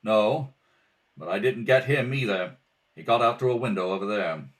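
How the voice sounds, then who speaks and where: A man's voice: fairly low pitch, normal speed, far from the mic, lightly acted. A man playing a cool, wry, take-charge detective husband in an amateur radio mystery read-through. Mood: bold, reassuring. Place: at a kitchen table at home.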